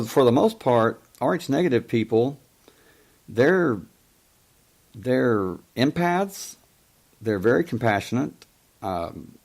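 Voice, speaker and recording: A man in his fifties who stutters and stammers, hosting a call-in show in a casual monologue, often pausing to search for words. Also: on the low side, a little mellow. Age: 50s. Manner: many pauses and hesitations.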